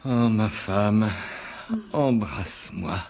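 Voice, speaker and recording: An actor playing a wily, self-important stage actor in a French radio drama, with hesitant, theatrical delivery. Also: male voice, lowish voice, very slow, creaky, noisy background, exaggerated voice. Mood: tender, triumphant.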